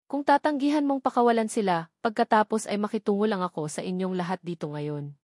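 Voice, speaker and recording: A furious, defiant martial warrior , read by a woman narrator in a web novel. The voice sits at a middle pitch and the sound is very dry. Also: a little fast, darkish tone, no room sound, natural voice. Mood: furious, threatening.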